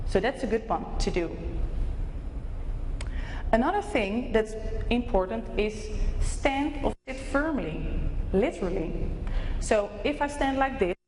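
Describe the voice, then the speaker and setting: A woman's voice: on the high side, lively intonation, a little slow, long pauses, darkish tone. A woman from the Netherlands with a Dutch accent, a native Dutch speaker, giving an explanatory conference talk in simple phrases with practical examples. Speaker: clinical neuropsychologist giving a presentation. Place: conference hall, indoors. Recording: echoey hall with faint noise.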